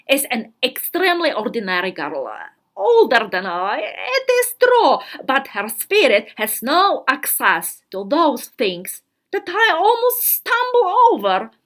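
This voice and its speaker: A woman voicing a self-important, earnest fourteen-year-old girl diarist, reading dramatically with deliberate pauses and emphasis. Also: high voice, a bit bright, exaggerated voice. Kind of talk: dramatic literary reading of a diary.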